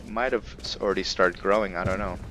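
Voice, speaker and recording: A man's voice: low-pitched, fairly even intonation, quick pace, fairly bright tone, slight background noise, rough recording. A man hosting a gaming video, giving casual commentary and role-play remarks as he plays.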